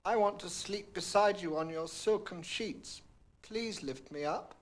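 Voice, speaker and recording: A man voicing a polite but insistent little frog in a children's storybook, coaxing in a drawn-out, pleading way. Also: high-pitched, voice pushed up. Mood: weary, coaxing.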